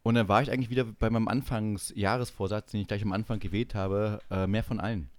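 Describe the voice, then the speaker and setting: A man's voice: lowish voice, steady tone, fast, dry recording. A man co-hosting a podcast in casual, joking chat with an ironic, mock-earnest manner. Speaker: podcast co-host.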